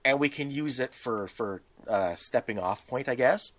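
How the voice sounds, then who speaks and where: A man's voice: medium pitch, moderate pace, a bit bright. A man in casual podcast conversation, explaining things in a methodical, matter-of-fact way as a host.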